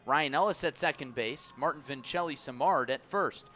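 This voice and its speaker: A man calling baseball live on the radio as play-by-play announcer, measured between pitches and excitable on big plays. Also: a little hiss or hum. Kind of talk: live radio sports commentary.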